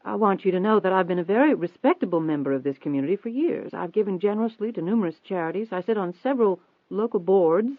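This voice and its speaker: A man's voice: high-pitched, expressive, moderate pace, dry recording, voice pushed up. A man voicing a prim, nervous, proud rich woman in an audiobook, formal and defensive, with an anxious edge. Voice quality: trembling, anxious. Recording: thin phone-like band-limited audio.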